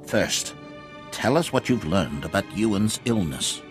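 A grave man of authority on a Jedi council in a game. He is low-pitched and measured, choosing formal words. Faint music plays behind him. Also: expressive. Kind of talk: video game voice acting.

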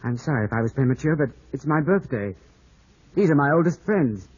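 A man's voice: mid-range voice, lively intonation, brisk, darkish tone, husky. A man playing an ardent, impulsive young man of twenty-five in a radio drama, speaking in an earnest, formal, intense way. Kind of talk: 1950s radio drama acting. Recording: muffled old radio broadcast with music behind.

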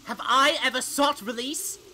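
A woman's voice: sits high, a little slow, sharp tone, slight background noise. A teenage girl playing a proud, defensive young Victorian businessman in a radio drama, indignant and formal.

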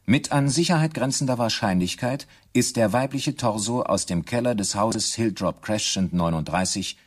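Man acting an official, radio play, low-pitched, husky, formal, sober, precise report delivery. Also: moderate pace, close to an everyday voice. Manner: formal, matter-of-fact, precise. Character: sober male official reporting police findings. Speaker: radio drama actor.

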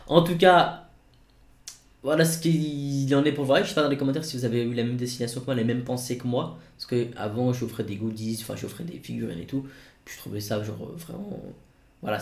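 Jeune homme bavard, salle qui résonne. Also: male voice, medium pitch, a little mellow, echoey room. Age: 20s. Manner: rambling, many fillers and restarts. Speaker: YouTuber. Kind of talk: casual vlog to camera. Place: home studio room.

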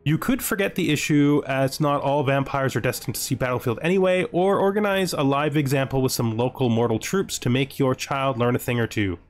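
A man's voice: medium pitch, fairly quick, few pauses. A man giving casual strategy game commentary as host, thinking aloud and reading event text. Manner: thinking aloud, asking himself questions. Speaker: let's-play host.

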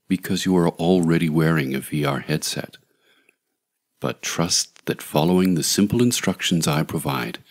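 A man narrating a scripted guided meditation in a measured, soothing, deliberate way, with long pauses. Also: rumbling low, breathy edge.

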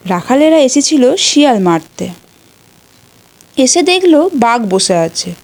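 A woman's voice: sits high, big pitch swings, slow, darkish tone. A woman narrating a scripted children's folktale as a storyteller.

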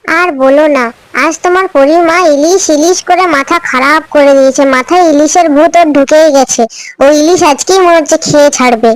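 A woman's voice: sits high, brisk, lightly acted, low-quality recording. A woman voicing a caring, fretful village mother who fusses over her family in a cartoon story. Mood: mock exasperated. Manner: fussing, motherly.

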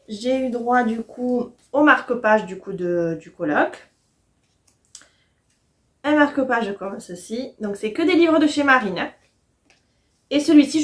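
Woman in her 30s doing a casual book vlog, kinda high voice, chatty and keen. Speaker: book vlogger. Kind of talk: casual chat to camera, book haul. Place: small furnished room at home, indoors.

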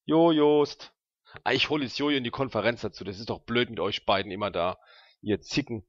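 A man's voice: mid-range voice, fairly quick, dry recording, highs cut off. A man playing a tabletop roleplaying game, chatting in character with teasing, grumbling banter. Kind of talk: casual in-character game chat.

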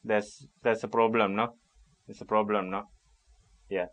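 A man playing a bumbling, apologetic car company agent on a prank phone call, halting and repeating himself deferentially. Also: on the low side, flat delivery, no room sound, natural voice. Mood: evasive, wheedling. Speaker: radio prank caller and show host.

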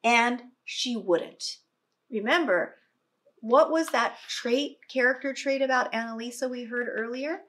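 A middle-aged woman with a high voice reads to kindergarteners at a slow pace. She is expressive, with dramatic stresses, and explains things as a teacher would. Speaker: teacher reading aloud to young children. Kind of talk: expressive picture-book read-aloud with questions to kindergarteners.

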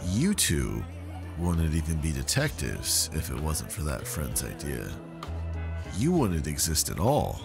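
A man playing an earnest podcast creator in a scripted audio drama, measured and explanatory. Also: extremely low pitch, wildly swooping pitch, creaky, full character voice. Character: earnest male podcast creator being grilled by detectives. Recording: music under the voice.

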